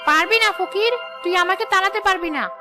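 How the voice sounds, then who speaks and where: Very high-pitched menacing witch-ghost. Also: brisk, music in the background. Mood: gloating menace. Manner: taunting, commanding. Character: menacing possessive female village witch-ghost, luring and gloating. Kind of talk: cartoon horror acting.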